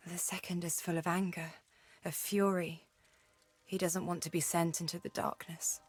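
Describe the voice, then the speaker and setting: A woman playing a troubled young female adventurer in a video game, halting and emotional. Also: fairly low pitch, little pitch movement, husky, no room sound, soft background music. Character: anguished young woman adventurer wrestling with guilt.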